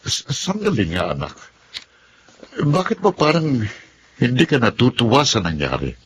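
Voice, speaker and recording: A man's voice: medium pitch, huge pitch swings, normal speed. A Filipino actor playing an authoritative middle-aged father questioning his son in a radio drama, probing and emphatic. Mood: puzzled, pressing. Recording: faint noise and ambience.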